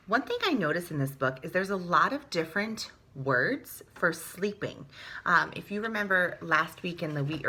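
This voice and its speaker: A woman teacher reading a picture book aloud to young children, with sing-song, drawn-out phrasing, hushed moments and explanatory asides. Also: sits low.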